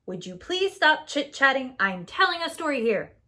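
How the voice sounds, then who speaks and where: A woman's voice: high-pitched, very animated, moderate pace, bright, forceful tone, heavily acted. A woman playing a bossy, exasperated storybook narrator with an emphatic delivery.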